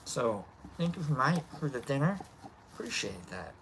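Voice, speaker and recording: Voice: medium pitch, sing-song, moderate pace, fairly bright tone, slight background noise. A live stream host with a nasal voice, chatting casually and rambling while greeting viewers by name. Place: outdoor lounge by a fire pit.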